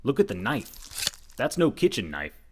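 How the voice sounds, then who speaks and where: A man's voice: medium pitch, huge pitch swings, unhurried, background noise. A man playing an earnest, overconfident and slightly dim reporter detective in a comic radio mystery, delivered dramatically. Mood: earnest, deadpan. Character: earnest, dim, self-assured young male reporter detective. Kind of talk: comedic old-time radio mystery acting.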